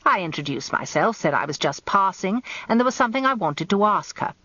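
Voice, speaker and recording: A woman's voice: medium pitch, very animated, a little fast, sharp tone, dry recording. An actress with an English accent playing an observant, wry lawyer's wife turned amateur detective, confiding her story in a radio drama. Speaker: narrator. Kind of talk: first-person radio drama narration. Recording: dull lo-fi radio recording.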